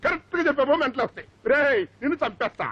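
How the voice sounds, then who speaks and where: A man playing an agitated, indignant middle-aged uncle in a film comedy, loud and exclamatory. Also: very high voice, expressive, racing, no room sound. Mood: indignant, threatening. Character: agitated, blustering comic uncle and aggrieved father. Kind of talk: Telugu film comedy acting. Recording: dull lo-fi film soundtrack, faint music.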